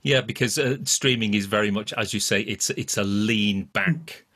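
A middle-aged man interviews a guest as a podcast host. His voice is fairly low, with a bright, forceful edge, and his delivery is conversational. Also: normal speed. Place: indoor room, video call.

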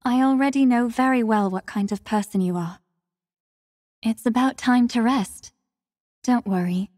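An actress playing a devoted, composed young female warrior in a video game, speaking with formal, measured, soft courtesy. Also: a little high, no echo, clean studio sound, long reverb tail, close to an everyday voice. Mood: gentle, attentive. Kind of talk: scripted mobile game character lines.

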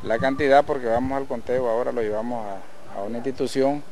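Middle-aged police commander, measured and official. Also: male voice, medium pitch, steady tone, warm tone. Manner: measured, official, halting restarts. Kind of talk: press statement at a roadside. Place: outdoors at a roadside checkpoint. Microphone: handheld reporter mics.

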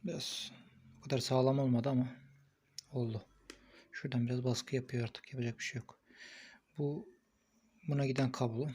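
A man's voice: medium pitch, steady tone, moderate pace, pauses often, darkish tone, slight background noise. A man giving a casual, hands-on product review, thinking aloud informally as he records on a phone. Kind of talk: casual hands-on unboxing and installation commentary.

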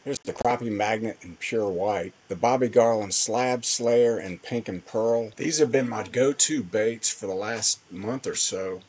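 A man's voice: fairly low pitch, measured pace, few pauses, a little mellow, rough recording. A man giving casual, plain-spoken voice-over commentary on fishing footage as host of a fishing channel.

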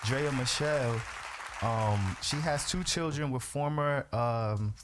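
A man's voice: mid-range voice, slow, crowd noise, noisy background. A man hosting a studio talk show who reads out news items and pushes back firmly in the debate. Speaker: host. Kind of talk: casual talk show chat. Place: small radio studio. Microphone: studio mic on a boom arm.

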